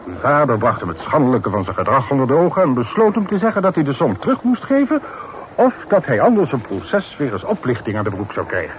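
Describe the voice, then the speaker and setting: Earnest, deferential townsman, animated, noisy recording. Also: mid-range voice, fast. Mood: earnest, indignant. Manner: formal, polite, earnest storytelling. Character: earnest, deferential townsman reporting troubling news to a gentleman. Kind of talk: Dutch radio play acting. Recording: noisy old radio play recording.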